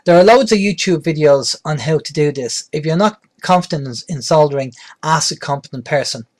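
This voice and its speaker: A man with a slightly high voice presents a practical how-to guide. His delivery is plain-spoken and explanatory, like a host walking beginners through each step. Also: lively intonation, moderate pace. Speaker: presenter, radio tutorial host. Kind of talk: instructional tutorial narration.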